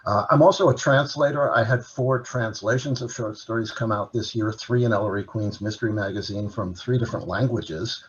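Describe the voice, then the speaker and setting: A man's voice: fairly low pitch, fairly quick, hardly any pauses, muffled recording. A man, a native Dutch speaker, presenting his work as an author and translator in a casual talk, listing items with many filler uhs. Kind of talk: casual presentation at an online library event.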